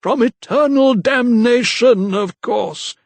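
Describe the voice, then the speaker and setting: A man voicing a zealous, menacing old vicar who speaks in a slow, unctuous, preaching manner, in a dry, close audiobook reading. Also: very high-pitched, vocal fry, no echo, pitched above the performer's own voice, heavily acted.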